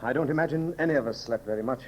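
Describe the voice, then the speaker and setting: A man's voice: mid-range voice, rapid-fire, slight background noise, close to an everyday voice. A man acting a courtly, coolly resolute man of Atlantis, stately and formal, in 1930s radio serial style. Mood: courteous.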